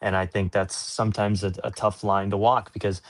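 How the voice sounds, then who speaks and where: A man's voice: lowish voice, little pitch movement, a little fast. A man working as a sports announcer, answering interview questions in an earnest, informal, explanatory way.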